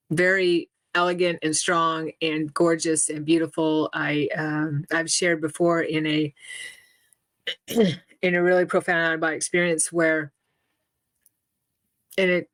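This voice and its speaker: A middle-aged woman giving an interview, speaking in a halting way with many restarts and fillers. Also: low voice, fairly bright tone, no room sound. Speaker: interview guest. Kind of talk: casual spoken interview.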